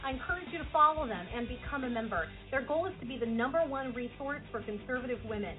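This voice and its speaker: Higher-voiced woman reading a promo. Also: animated, quick pace. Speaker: radio show host. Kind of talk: promo read. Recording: tinny audio over clear music.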